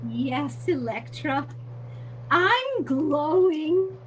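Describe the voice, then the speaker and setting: Casting: sweet, tipsy old lady played by a woman, very high lilting voice, unhurried, dainty, stage comedy. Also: big pitch swings, full character voice. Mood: cozy, dreamy. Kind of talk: comedic readers theater acting.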